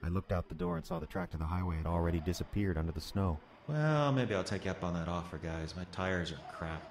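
A man's voice: low-pitched, expressive, fast. An actor playing an uneasy working man who narrates his own snowbound horror story in a dramatic, brooding way. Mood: casual. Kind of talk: first-person radio horror narration. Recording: noisy storm ambience under the voice.

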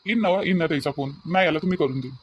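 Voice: medium pitch, rapid-fire, a little hiss or hum, close to an everyday voice. A performer voicing a mild middle-aged village husband in a comic cartoon, chiding and placating in a Varhadi Vidarbha accent. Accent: Varhadi Marathi of Vidarbha.

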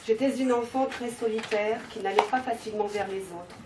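A woman reads a text aloud calmly in an echoey room. She is heard from across the space. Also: fairly high pitch, a little fast, background noise. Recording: echoey shop from far off.

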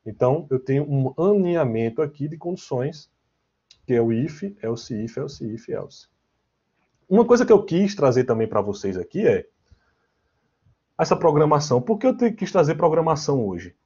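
A Brazilian man teaches in a fairly high, husky voice. He talks informally, as a lecturer does over slides, and keeps tossing in short check-in questions. The sound is slightly muffled and lo-fi, like an online class. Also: big pitch swings, moderate pace, warm tone. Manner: conversational, often checking with question tags. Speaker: programming teacher. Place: online class, screen share. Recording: dull, lo-fi online class audio.

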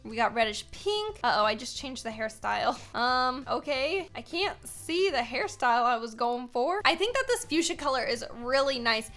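A young American woman hosts a gaming video in a high, bright voice. Her commentary is casual, chatty and expressive, full of exclamations, with music playing in the background. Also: moderate pace, few pauses. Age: young adult.

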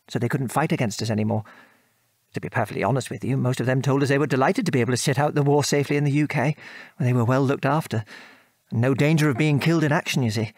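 A man's voice: mid-range voice, no room sound, lightly acted. An actor with a British accent playing a former RAF airman and father, frankly recounting his war service in an articulate, old-fashioned way for an audio drama. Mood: wry reminiscing. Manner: articulate, measured storytelling with old-fashioned British turns of phrase. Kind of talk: conversational audio drama reminiscence.

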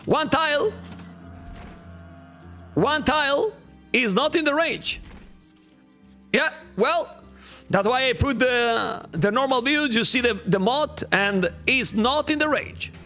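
Hoarse Spanish-accented male caster, lively swings in pitch, faint music under a home stream. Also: slow pace, long pauses. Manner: animated, repeats phrases, talks to chat. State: losing his voice. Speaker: game caster. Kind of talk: live game commentary to chat. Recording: faint game music behind.

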